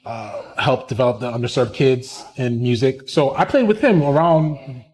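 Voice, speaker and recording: A man, telling his story in a casual interview, animated and quick to laugh. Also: medium pitch, low-quality recording. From Brooklyn, New York. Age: 30s. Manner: animated, chatty, laughs often. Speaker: musician and community organizer, interviewee.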